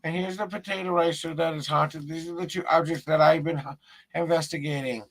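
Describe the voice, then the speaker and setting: A man with a gravelly voice talking casually on a live stream as a paranormal investigator, rambling and repeating himself as he shows objects. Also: on the high side, steady tone, a little fast, a bit bright, low-quality recording.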